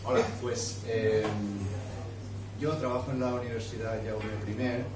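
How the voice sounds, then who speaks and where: A man's voice: mid-range voice, level tone, measured pace, very noisy. A middle-aged man, a university science communicator, making a rambling, joking announcement on a handheld mic in a pub. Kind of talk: informal announcement. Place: pub event room. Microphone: handheld microphone. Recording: echoey room, far camera.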